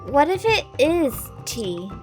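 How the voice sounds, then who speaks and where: A woman voicing a small, childlike fairy companion with a high squeaky voice and an inquisitive, worried manner, as in a video game story. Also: sing-song, takes it slowly, over music, heavily acted. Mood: puzzled. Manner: refers to herself in third person, questioning.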